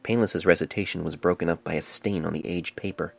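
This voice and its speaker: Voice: deep voice, steady tone, a little fast, vocal fry, noisy background. An amateur narrator reading a story dramatically into a cell phone. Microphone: cell phone mic. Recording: amateur phone recording with music behind.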